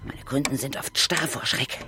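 An actress in a children's radio play voicing a brisk, unflappable older female ghost hunter, dry and commanding. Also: rumbling low, fairly even intonation. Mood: brisk, assured. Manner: dry, matter-of-fact, commanding.

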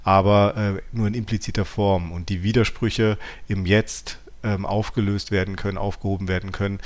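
A man with a fairly low-pitched voice co-hosts a talk podcast. He speaks in a reflective, analytical way, thinking aloud and often correcting himself mid-sentence. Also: level tone, normal speed. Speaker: podcast co-host. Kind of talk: reflective philosophical discussion.

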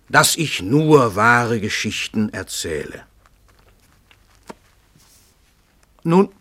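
An actor playing a pompous, cold-blooded famous crime writer in a radio play, declaiming in a grand, commanding way. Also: male voice, mid-range voice, a bit bright. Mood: pompous, sly. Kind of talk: German radio play acting.

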